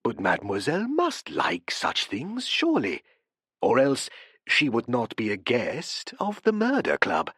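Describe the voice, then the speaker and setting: A man reading an audiobook, voicing a courteous, probing male professor who speaks softly and gently presses with questions. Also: mid-range voice, huge pitch swings, unhurried, husky, dry recording, studio quality, exaggerated voice. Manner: soft, interested, courteous.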